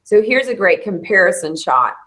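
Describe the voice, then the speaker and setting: A woman, hoarse and losing her voice, hosting a casual bloom-status chat with a friend. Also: mid-range voice, big pitch swings, fairly bright tone, low-quality recording. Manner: chatty, quick to laugh. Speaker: host of a bluebonnet bloom update. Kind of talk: casual live chat with a co-host.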